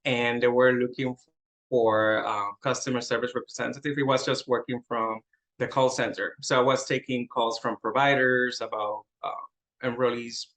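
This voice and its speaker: A man's voice: mid-range voice, little pitch movement, moderate pace, a bit bright, rough recording. A middle-aged man from Puerto Rico with a Puerto Rican accent, a Spanish speaker, guesting on a casual interview and laughing often in a modest way. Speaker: interview guest, medical coder.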